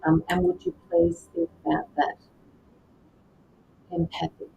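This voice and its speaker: A woman doing live tarot and mediumship readings, speaking gently to viewers by name with frequent hesitations. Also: very animated, measured pace, gravelly. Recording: slightly dull lo-fi sound with faint rumble.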